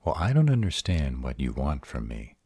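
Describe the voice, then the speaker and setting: Male actor as exasperated sarcastic vampire guy, extremely low voice, swinging intonation, audio drama. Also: wildly swooping pitch, normal speed, darkish tone, slightly rough, no room sound, clean studio sound, full character voice. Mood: uneasy, sarcastic. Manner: sarcastic, exasperated, casual drawl with swearing. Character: sarcastic, baffled male vampire, foul-mouthed and wary. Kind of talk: audio roleplay drama acting.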